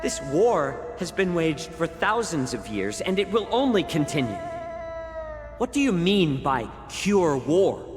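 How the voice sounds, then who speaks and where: An actor playing a weary, sardonic, quietly defiant male healer in a video game, with measured, pointed, theatrical delivery. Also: fairly high pitch, big pitch swings. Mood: scornful. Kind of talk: dramatic video game voice acting. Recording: reverberant, with game music behind.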